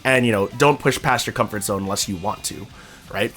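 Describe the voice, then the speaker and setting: A young man streaming, talking casually to his chat, excitable and swearing freely. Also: on the low side, a little fast, faint music behind.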